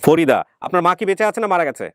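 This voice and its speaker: A man's voice: on the high side, big pitch swings, racing, a bit bright, clean tone, no echo. A man with a Bangladeshi accent hosting an interview show, speaking in a firm, instructing way and questioning his callers. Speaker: reunion show host.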